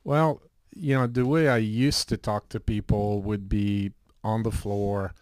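Low, flat-voiced middle-aged man. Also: a little slow, clear, resonant tone, dry recording, clean studio sound. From Switzerland. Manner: relaxed, rambling with many fillers. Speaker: guest, health shop owner. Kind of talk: casual interview talk.

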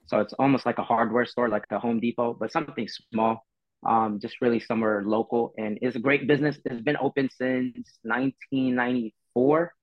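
A man's voice: medium pitch, fairly even intonation, fairly quick, no echo. A laid-back man in his twenties, chatting casually as a podcast guest, rambling with lots of fillers. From Philippines. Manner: easygoing, rambling, many fillers. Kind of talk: casual chat. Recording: dull dry sound, faint music.

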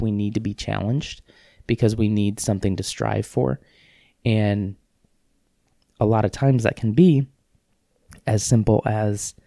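A man hosting a solo podcast, rambling in a casual, self-deprecating way with halting restarts and a sniffle. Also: on the low side, gravelly, mellow, no room sound, studio quality. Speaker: podcast host.

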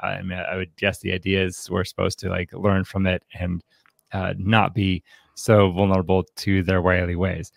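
Low-voiced man hosting a podcast, gravelly edge. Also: monotone, no echo, studio quality. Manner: halting, thinking aloud. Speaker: podcast host. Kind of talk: casual unscripted book discussion.

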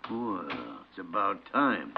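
A male actor playing a crusty, soft-hearted old senior doctor in a gruff, sputtering 1950s radio drama manner. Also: on the low side, lively intonation, slow, background noise. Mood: exasperated, flustered. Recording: dull lo-fi vintage radio broadcast.

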